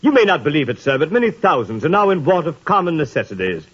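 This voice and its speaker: An actor in a 1930s radio drama playing a polite gentleman who is collecting for the poor and speaks in a courteous, earnest way. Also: a little high, very animated, fast talker, husky, full character voice. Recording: muffled 1930s radio broadcast.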